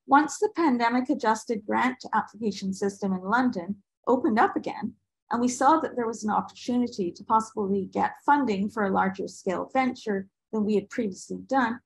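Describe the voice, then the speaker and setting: An older woman from Barbados reading a prepared presentation in a measured, formal manner on a video call. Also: on the high side, breathy edge, low-quality recording. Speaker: artist and panel presenter. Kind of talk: prepared presentation read aloud. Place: indoor room with bookshelves, on a video call. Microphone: video call microphone.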